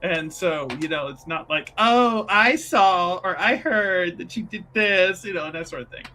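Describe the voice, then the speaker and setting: A man improvising casual, halting and wordy narration as a player in a tabletop roleplaying game. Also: high-pitched, pushed and bright, faint music behind, rough recording.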